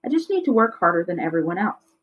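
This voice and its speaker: A woman's voice: fairly low pitch, big pitch swings, fast. Lady reading as a driven teen athlete boy, earnest, matter-of-fact, storybook, slightly dull recording. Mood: determined. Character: hard-working, driven teenage brother, a freshman athlete.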